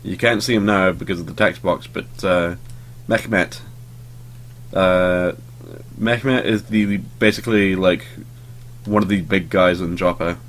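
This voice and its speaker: A man's voice: low-pitched, fairly even intonation, measured pace, slight background noise. A young man, just over a cold, casually talking through a game he is playing in a sleepy, rambling way with lots of fillers. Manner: rambling and sleepy, lots of ums, swears offhandedly. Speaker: let's play host. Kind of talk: casual gameplay commentary.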